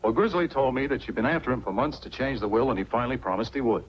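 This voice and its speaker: Actor as a smooth, fast-talking film noir schemer. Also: male voice, medium pitch, lightly acted. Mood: feigned reluctance. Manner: smooth, insinuating. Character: smooth scheming male blackmailer, suave and calculating. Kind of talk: 1940s film noir acting. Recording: dull, faintly noisy 1940s film soundtrack.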